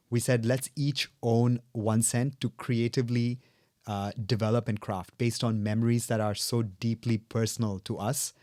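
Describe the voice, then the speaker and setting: A man's voice: mid-range voice, a little mellow, no room sound, clean studio sound. A young man from South India, a native Telugu speaker, telling his story earnestly and reflectively as a guest in a podcast interview. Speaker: guest, fragrance brand co-founder.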